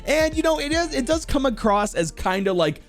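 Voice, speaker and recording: High-pitched, animated male gaming host. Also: moderate pace, soft background music. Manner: chatty, informal, stressing words for emphasis. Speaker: video host. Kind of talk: casual gaming commentary to camera.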